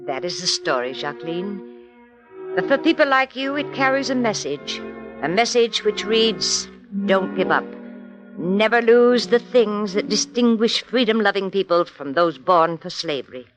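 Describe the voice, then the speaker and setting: A woman's voice: mid-range voice, lively intonation, a little slow, music in the background, close to an everyday voice. Actress as an emotive, swooping woman prisoner. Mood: tender, earnest. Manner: dramatic, heartfelt. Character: earnest, motherly imprisoned woman consoling a young cellmate. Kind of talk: 1940s radio drama acting.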